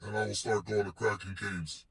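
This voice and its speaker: A man's voice: on the low side, little pitch movement, normal speed, creaky, fairly bright tone, recorded from a distance, slight background noise. A man vlogging casually about wrestling in a slangy, rambling, playful way, swearing freely. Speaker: vlogger and show host. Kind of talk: casual wrestling chat vlog.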